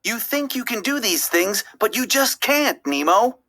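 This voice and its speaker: A woman's voice: a little high, big pitch swings, unhurried, fairly bright tone, pitched above the performer's own voice, exaggerated voice. A woman voicing an anxious, overprotective father clownfish in a storybook, exclaiming urgently. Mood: panicked scolding.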